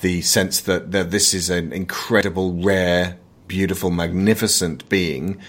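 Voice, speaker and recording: Man, low voice, podcast host, conversational film commentary, emphatic, opinionated, halting phrasing. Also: flat delivery, fairly bright tone.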